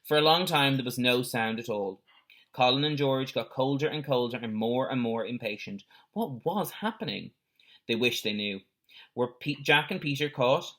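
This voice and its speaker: Bright-voiced man reading a children's story aloud. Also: medium pitch, normal speed. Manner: animated character voices with explanatory asides. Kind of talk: expressive read-aloud of a children's adventure story.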